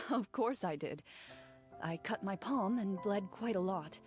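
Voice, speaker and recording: A woman voicing a composed young female duelist who speaks in a calm, measured, matter-of-fact way. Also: mid-range voice, soft background music, natural voice. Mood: reflective. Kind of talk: game cutscene voice acting.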